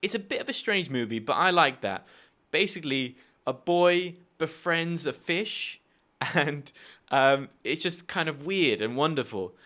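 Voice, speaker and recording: A man's voice: fairly high pitch, expressive, bright, forceful tone. A young man hosting a friendly language-learning lesson, telling stories and giving tips in an upbeat, chatty way. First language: English. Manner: upbeat, chatty storytelling with quick asides. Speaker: language teacher and video host. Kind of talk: friendly explanatory video lesson.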